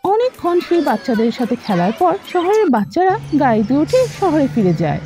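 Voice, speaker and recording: A woman's voice: sits high, sing-song, brisk, warm tone, soft background music. A woman narrating a scripted children's story.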